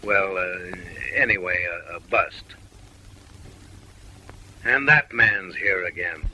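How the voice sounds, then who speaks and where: A man's voice: sits low, slow pace, pushed and bright, a little hiss or hum, rough recording. A man narrating a comic short film in voice-over, mock-refined and wry, lecturing ladies with teasing, suggestive asides.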